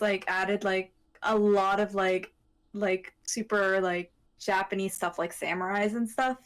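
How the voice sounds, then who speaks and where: Female panelist, average pitch, informal delivery broken into short halting phrases. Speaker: panelist on an anime discussion show. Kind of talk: casual chat.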